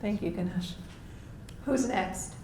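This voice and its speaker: A hoarse woman leading a writing workshop, coaxing participants to read aloud and encouraging them. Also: mid-range voice, slow, a little mellow. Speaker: workshop leader. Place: library meeting room. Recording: echoey room with faint noise.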